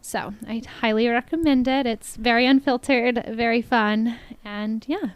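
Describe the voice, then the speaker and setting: Young woman w a bit of texan twang, chatty and upbeat, laughs a lot, higher voice, smooth and dry close-up sound. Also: steady tone, measured pace, no echo. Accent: slight Texan twang. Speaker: podcast guest, model. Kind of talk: casual interview chat.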